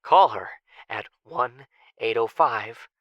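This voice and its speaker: A man's voice: medium pitch, expressive, slow pace, dry recording, dull audio. A man playing a mysterious tipster who speaks tersely, as in a message left in an audio drama. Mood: cryptic.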